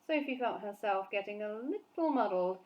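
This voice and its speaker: A woman teacher reading a children's book aloud, with soft, steady narration between the voices. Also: medium pitch, moderate pace, muffled recording. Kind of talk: storybook read-aloud for children.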